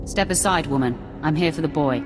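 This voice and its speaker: A woman acting a cocky dark-side warrior in a fantasy sci-fi game. Her voice is deep for a woman, dry and defiant with a mocking menace, set over music. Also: fairly quick, lightly acted. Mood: cool menace. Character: cocky, menacing young female Sith warrior.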